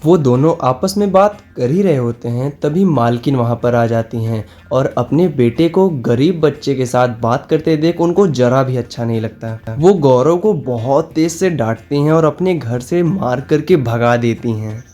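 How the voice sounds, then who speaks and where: A man's voice: medium pitch, expressive, a little slow, few pauses, warm tone. A man narrating a scripted cartoon story as its storyteller. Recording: music behind the voice.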